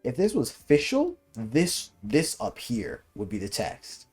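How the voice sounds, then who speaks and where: A man's voice: mid-range voice, huge pitch swings, slow pace, darkish tone, slightly rough, soft background music. A young man giving casual commentary as he plays a video game, slangy, profane and teasing. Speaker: streamer reacting to a video game.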